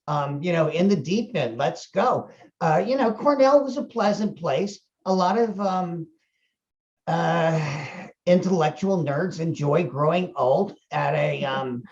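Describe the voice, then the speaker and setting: Older American man, dull lo-fi audio. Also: on the high side. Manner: folksy, wry, colloquial. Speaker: chief medical officer interviewed about his company. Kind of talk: conversational interview answers.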